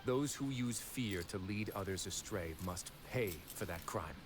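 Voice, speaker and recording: Low-voiced, composed gentleman played by a man. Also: background noise, lightly acted. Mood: somber, philosophical. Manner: formal, measured, philosophical. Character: composed, stern gentleman in authority over prison guards. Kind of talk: video game voice acting.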